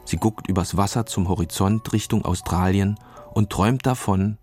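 A man narrating a scripted children's story in a measured, expressive storytelling manner. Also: deep voice, faint music behind.

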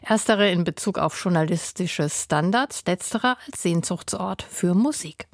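A woman's voice: lowish voice, animated, measured pace. A woman reading scripted narration for a radio feature.

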